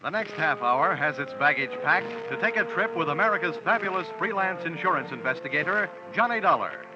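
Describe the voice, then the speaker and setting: Booming old-time radio announcer man. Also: animated, fairly quick. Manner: booming, showy delivery. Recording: muffled old radio recording over music.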